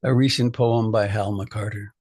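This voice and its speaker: A man, a meditation teacher, reading a reflective poem aloud in a measured, deliberate way. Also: fairly low pitch, steady tone, a little mellow, no echo, studio quality.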